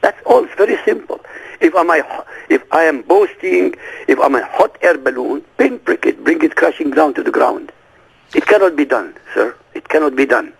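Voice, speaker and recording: A man whose first language is Arabic, a guest on a radio interview, who talks forcefully and emphatically and keeps firing off rhetorical questions. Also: on the high side, expressive, moderate pace, vocal fry, husky, low-quality recording.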